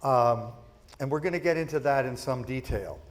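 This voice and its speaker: A man hosting a conference stage interview, introducing his guest warmly and guiding the talk with long questions. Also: medium pitch, moderate pace. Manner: upbeat, effusive, guiding questions. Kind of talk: onstage interview. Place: conference stage with a live audience.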